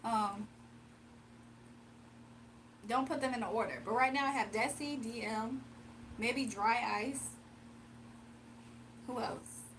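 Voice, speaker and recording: A woman's voice: on the high side, slow, long pauses, a bit bright, slight background noise, low-quality recording. A woman hosting a live stream, chatting casually with viewers and doing card readings, her voice a little strained from nearly losing it. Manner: chatty, reads out viewer comments, laughs often. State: slightly strained, recovering voice. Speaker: livestream card reader.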